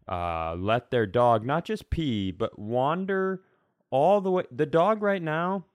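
A man's voice: fairly low pitch, smooth, no echo, studio quality. An American man co-hosting a comedy podcast, chatting and telling stories in a casually profane, wry way. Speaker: podcast co-host. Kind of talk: casual comedic chat and storytelling.

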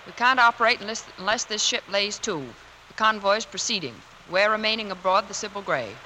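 A woman's voice: mid-range voice, fairly quick, close to an everyday voice. A woman playing a stubborn, devoted young Navy nurse in a 1940s radio drama, earnest and insistent. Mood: brisk, earnest. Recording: noisy 1940s radio broadcast recording.

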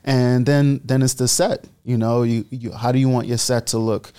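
A man's voice: mid-range voice, fairly even intonation, moderate pace, dark tone, smooth. A young man from the Bahamas, a guest entrepreneur telling his story in a casual interview and acting out the quotes.

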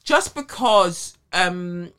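A woman's voice: on the low side, expressive, very slow, a bit bright, clear, resonant tone. British woman in her thirties, casual banter. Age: 30s. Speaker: podcast co-host.

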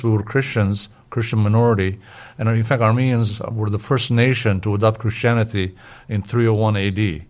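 An older man from Syria speaks as an interview guest, explaining history in a fairly low, very even voice. He moves haltingly, often repeating the start of a word while he searches for the next one.

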